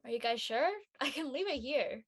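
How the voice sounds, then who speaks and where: A young actress playing a homesick, worn-down college student in a drama, hesitant and soft-hearted. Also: female voice, high voice, moderate pace, slightly rough. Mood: subdued. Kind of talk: acted dialogue in an audio-led play.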